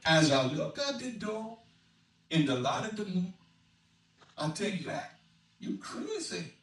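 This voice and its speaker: Man putting on an excitable high-pitched old Cajun storyteller. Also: takes it slowly, full character voice. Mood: excited, hollering. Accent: Cajun Louisiana. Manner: dramatic, swooping, hollering, dialect grammar. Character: excitable old Cajun storyteller spinning a bayou Christmas tale. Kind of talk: animated storybook dialect reading. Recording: echoey room, distant mic, noisy.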